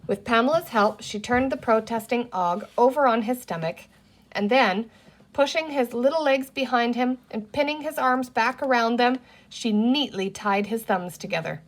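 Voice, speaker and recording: A woman's voice: fairly high pitch, normal speed. Woman reading a children's story aloud. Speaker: storybook reader. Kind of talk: children's book read-aloud.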